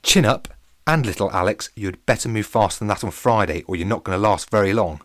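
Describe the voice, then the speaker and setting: A man's voice: on the low side, fast, fairly bright tone, no echo, clean studio sound, lightly acted. A man voicing a cheeky, brash schoolchild who teases, in a children's story. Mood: teasing.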